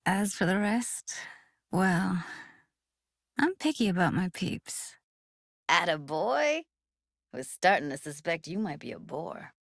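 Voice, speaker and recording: An actress playing a tough, sardonic but warm-hearted young woman in a video game, talking in casual, clipped slang. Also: on the low side, animated, takes it slowly, creaky, pauses often, dry recording, clean studio sound, exaggerated voice. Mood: casual, wry. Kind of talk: naturalistic video game voice acting.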